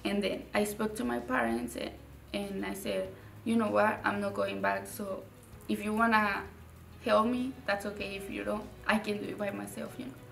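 A young woman from Mexico, a native Spanish speaker with a Mexican Spanish accent, answering interview questions in a halting way, repeating words and using many fillers. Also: medium pitch, steady tone, vocal fry, reverberant, recorded from a distance. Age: young adult. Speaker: graduating student. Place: indoor studio with dark curtain.

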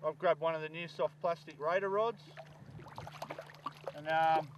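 Guy on a fishing show out on the flats, slightly high voice, sounds far off and a bit muffled. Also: measured pace. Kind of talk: outdoor fishing show chat. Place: outdoors on tidal flats. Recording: distant, dull outdoor sound with light noise.

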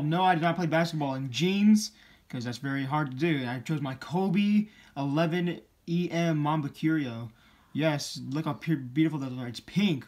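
A teenage boy hosting a sneaker channel, talking casually and rambling a bit as he shows his shoes, recorded on a camera mic in a room indoors. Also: fairly high pitch. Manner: chatty, upbeat, rambling. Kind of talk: casual vlog show-and-tell.

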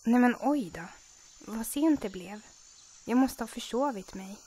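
A woman's voice: a little high, mellow, slightly rough. A woman voicing a friendly little panther cub in a cartoon fan dub, with a childlike manner. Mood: disappointed. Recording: music behind the voice.

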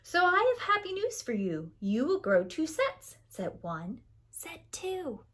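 A woman's voice: high-pitched, huge pitch swings, slow, a bit bright, pitched above the performer's own voice, full character voice. A woman voicing a knowing, helpful storybook hen who explains things in an emphatic, instructive way.

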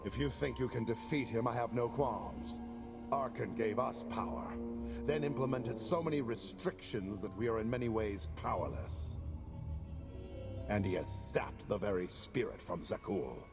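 An actor voicing a fanatical, imperious male cult leader in a video game, speaking deliberately and with menace. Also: mid-range voice, vocal fry, music in the background, lightly acted. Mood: scornful. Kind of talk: video game cutscene acting.

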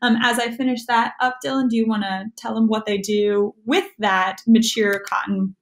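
Higher-pitched young American woman. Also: steady tone, normal speed. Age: young adult. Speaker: youth extension agent, activity presenter. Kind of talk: casual craft demonstration. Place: small room at home, indoors.

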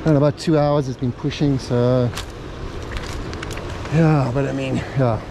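A man casually talking through a fishing walk along a rocky beach, relaxed and halting with lots of fillers, filmed on a body-worn camera outdoors. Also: medium pitch. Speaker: fishing vlogger. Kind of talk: casual walking commentary. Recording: outdoor camera audio with wind and surf noise. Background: crashing waves and wind.

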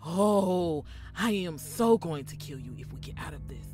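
A woman plays a sassy, sensible robber in an audio drama. Her voice sits a bit low and moves expressively, with music clearly behind her. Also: lively intonation, unhurried, dark tone. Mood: tense, exasperated. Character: sassy, sensible female thief, easily exasperated.

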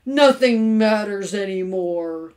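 A grumpy, mournful family man from a storybook, acted out by a woman reader. He growls his words in a doleful, sorry-for-himself way, pushing them out loudly. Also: medium pitch, lively intonation, slow pace. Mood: mournful, dejected. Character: grumpy, mournful middle-aged husband and father.

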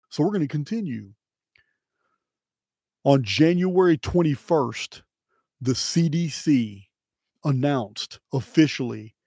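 A middle-aged man with an American accent presenting solo, emphatically and deliberately, in short phrases with pauses, close to the microphone. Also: medium pitch, mellow, no room sound. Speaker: video host and presenter. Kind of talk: solo presentation to camera, dramatic commentary. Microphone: close microphone on boom arm.

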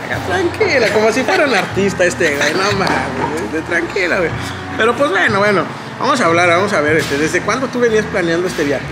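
A man's voice: sits high, big pitch swings, fairly quick, very noisy. A man in his thirties with a Mexican accent, hosting a casual vlog chat in a café, joking and swearing often. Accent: Mexican Spanish. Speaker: vlogger host. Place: indoor café at night.

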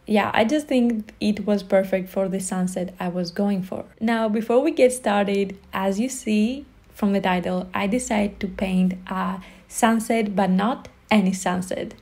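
A woman's voice: mid-range voice, steady tone, measured pace, hardly any pauses, a little mellow. A young woman from Greece with a Greek accent, narrating a watercolor tutorial in a friendly, enthusiastic, chatty way. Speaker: watercolor art instructor. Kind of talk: voice-over painting tutorial narration.

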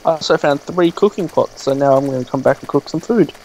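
A man's voice: fairly high pitch, fast talker, noisy background. Joking Australian man gaming with a friend. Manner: joking, laughs often. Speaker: gamer. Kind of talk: casual co-op gaming chat.

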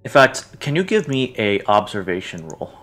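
A man's voice: lowish voice, big pitch swings, normal speed, fairly bright tone. A man narrating a tabletop roleplaying game as game master.

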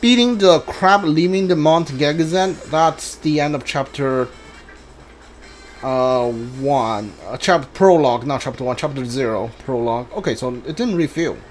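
A man's voice: on the high side, a little slow. A man giving casual video game playthrough commentary, mumbling his thoughts aloud as he plays. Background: game music.

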